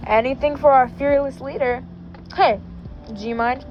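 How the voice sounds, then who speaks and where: A woman reading the lines of a sly, smooth-talking teen boy. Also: high voice, normal speed, dull audio, a little hiss or hum, close to an everyday voice. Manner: read in the reader's own voice.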